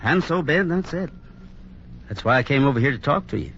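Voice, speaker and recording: Earnest, forceful radio Western hero. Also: male voice, on the high side, lively intonation, brisk, soft background music. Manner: sincere, reassuring. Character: earnest, kindly, steady Western hero and storyteller. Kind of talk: 1950s radio drama acting and narration. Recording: muffled old radio recording, faint noise.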